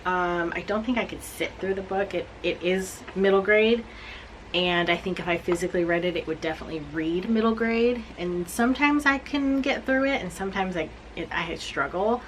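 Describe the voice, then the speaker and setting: A woman in her forties recapping books she has read to camera, blunt, animated and self-mocking. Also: on the low side, moderate pace, a little hiss or hum, poor audio. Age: 40s. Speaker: book reviewer. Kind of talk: casual book recap to camera.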